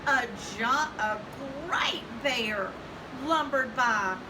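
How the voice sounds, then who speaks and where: High-voiced woman slowly reading a children's storybook. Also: expressive. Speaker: elementary school educator reading aloud. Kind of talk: children's storybook read-aloud. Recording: distant mic, clear background noise.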